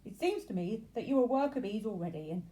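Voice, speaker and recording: A woman's voice: on the high side, lively intonation, fast talker, mellow, close to an everyday voice. A woman voicing a weary, sensible aged bee in a children's story, buzzing and chiding.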